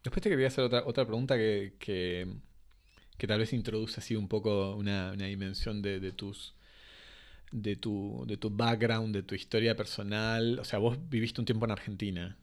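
Low-ish guy interviewing, academic, lots of stops and restarts. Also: steady tone. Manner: halting, many restarts, academic wording. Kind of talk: interview questions.

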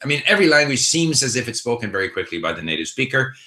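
Bright-voiced man in a roomy space. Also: medium pitch, big pitch swings, rapid-fire. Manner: thoughtful, conversational, frequent fillers and restarts. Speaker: language-learning host answering viewer questions. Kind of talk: casual live Q&A. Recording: roomy echo.

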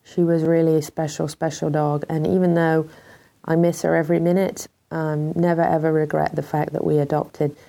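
A woman with a British accent hosting a horse talk show, her voice a little froggy and congested, talking with many pauses and ums. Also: low-pitched, flat delivery, creaky, warm tone. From Britain. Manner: hesitant, many ums, heartfelt. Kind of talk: casual talk show monologue.

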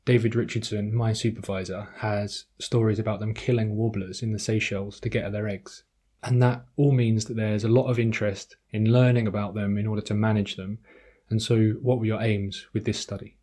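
Bright-voiced, husky male podcast host. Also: on the low side, steady tone, brisk. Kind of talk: scripted podcast introduction and interviewing.

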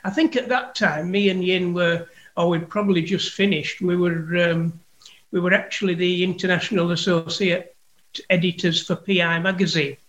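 A man, guest on an interview, recalling past events in a relaxed way with restarts. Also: high-pitched, moderate pace, lo-fi sound. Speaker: guest, private investigator. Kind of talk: conversational interview.

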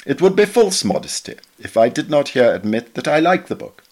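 A man reading a memoir essay aloud in a measured, literary, expressive way. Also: mid-range voice. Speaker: reader of a magazine article.

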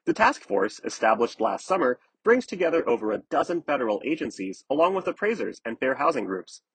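A man narrating a scripted news article in a measured, explanatory way. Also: mid-range voice, sing-song, a bit bright, breathy edge, dry recording.

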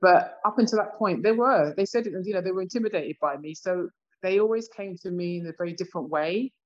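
A woman's voice: on the low side, fast, muffled recording. A British woman in her fifties talking reflectively in a casual conversation, with many "you know" asides. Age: 50s. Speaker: discussion participant.

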